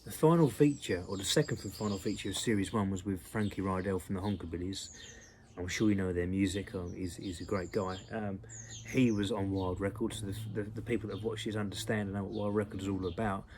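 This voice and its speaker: Male interview host, lowish voice, hesitant and chatty. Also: fairly even intonation, a little fast, a little mellow, slight background noise. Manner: hesitant, with "um"s and repeats. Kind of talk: casual interview questions.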